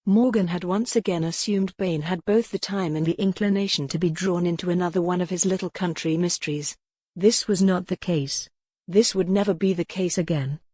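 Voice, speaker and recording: Woman, audiobook narrator, low pitch, dark tone, dry close mic, measured. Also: steady tone, husky, no room sound. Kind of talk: scripted narration.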